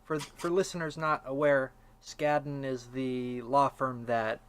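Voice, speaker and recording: A man's voice: medium pitch, level tone, measured pace, clear, resonant tone. A man hosting a casual podcast chat, wry and meandering, with a lot of fillers. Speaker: podcast host.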